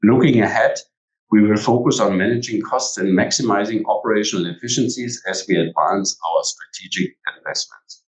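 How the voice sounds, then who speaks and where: Give the voice of a low-pitched man, a company executive delivering a scripted earnings presentation. He speaks formally, as if reading from prepared text. The recording is echoey and sounds some distance from the microphone. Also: a little fast. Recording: echoey room, distant from mic.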